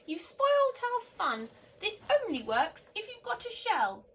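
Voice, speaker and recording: Woman reader as squeaky, scolding snail chorus for a picture book. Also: big pitch swings, measured pace, a little hiss or hum, heavily acted. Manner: shrill and scolding. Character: group of bossy, panicky snails.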